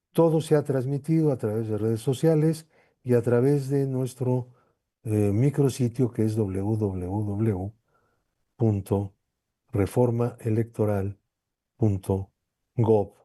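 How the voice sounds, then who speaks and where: Male hearing chair, formal and deliberate, very soft-edged mellow timbre, unhurried pace. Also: medium pitch, no room sound, studio quality. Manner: deliberate, halting, measured. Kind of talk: formal public address.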